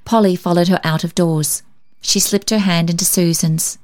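A woman reading a story aloud as an expressive scripted narration. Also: lowish voice, normal speed. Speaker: story reader.

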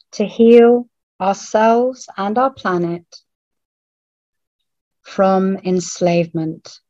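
A woman leading a guided meditation in a hushed, soothing manner, leaving long pauses between short phrases. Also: mid-range voice. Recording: roomy, phone-like narrowband audio.

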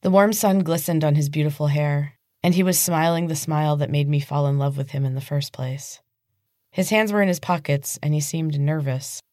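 Low-pitched woman narrating a story. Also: dark tone, no echo, studio quality. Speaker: podcast host and author reading own novel. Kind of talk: dramatic scripted audiobook narration.

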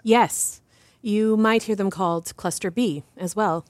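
A woman acting as an assured advocate interviewed in an audio drama, explaining things in a measured, didactic way. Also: mid-range voice, no echo, close to an everyday voice. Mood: composed, instructive. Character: composed, assured female advocate explaining justice reform. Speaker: interview guest.

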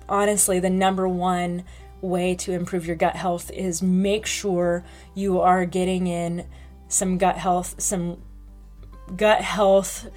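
A woman hosting a health podcast, talking frankly in a chatty way with many fillers. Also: fairly low pitch. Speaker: podcast host. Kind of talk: casual health podcast talk.

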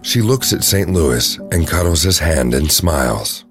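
Very deep male storyteller over music. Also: expressive, measured pace. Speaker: narrator. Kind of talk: scripted storytelling narration.

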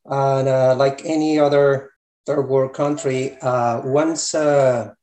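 A man's voice: medium pitch, flat delivery, takes it slowly, darkish tone. A middle-aged man from Colombia with a Colombian Spanish accent, answering interview questions about his repair shop in a hesitant way with many fillers. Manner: hesitant, many fillers and restarts, loose grammar. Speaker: repair shop owner, guest. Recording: echoey room.

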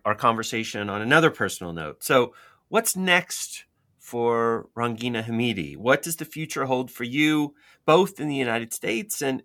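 A man interviewing a guest, asking measured questions. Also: mid-range voice, bright, forceful tone.

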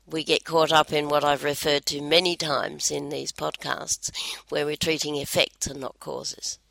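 Gravelly, bright-voiced woman co-host. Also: deep voice, flat delivery, a little slow, no room sound. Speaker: podcast co-host. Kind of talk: casual podcast chat.

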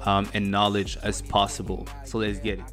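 A man's voice: on the low side, monotone, normal speed, fairly bright tone, faint music behind. A man in his twenties with a slight French Canadian accent, a native French speaker, hosting a podcast in an earnest, motivational way with many fillers and restarts. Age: 20s. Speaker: podcast host. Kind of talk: casual solo podcast monologue.

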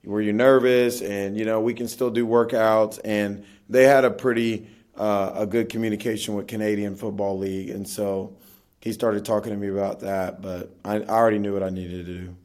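A man's voice: lowish voice, little pitch movement, moderate pace, a little mellow. A man who is a pastor, a podcast guest talking casually and earnestly about his experiences. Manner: earnest, reflective, speaks of faith. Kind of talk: casual conversational interview.

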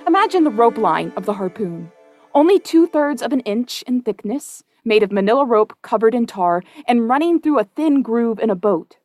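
Higher-pitched seafaring storyteller. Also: female voice, sing-song, moderate pace, darkish tone, no echo, faint music behind. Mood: lecturing, wry. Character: grave, wry seafaring narrator of a whaling tale. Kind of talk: dramatic scripted narration.

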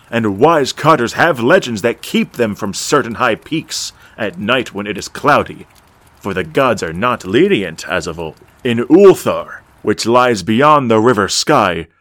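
A man playing a solemn storyteller of eerie ancient legends, narrating a horror audio drama in a measured, dramatic way. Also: fairly low pitch, a bit bright, dry recording, clean studio sound.

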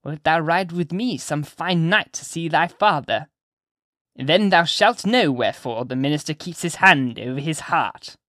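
A narrator voicing a sly, sinister old gentlewoman witch from a classic story, shrill and theatrical, with drawn-out archaic phrases. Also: lively intonation, no room sound. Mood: sinister, coaxing. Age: older. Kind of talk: dramatised audiobook character reading.

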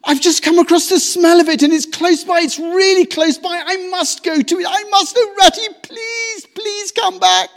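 A sobbing, high-voiced little mole. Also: male voice, normal speed, few pauses, a bit bright. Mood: pleading. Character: timid tearful little male mole.

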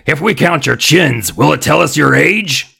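A man voicing a nasty, insulting ventriloquist dummy come to life in a storybook, with a raspy growl. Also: fairly high pitch, animated, moderate pace, bright, forceful tone, exaggerated voice. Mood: nasty, mocking. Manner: mocking, insulting.

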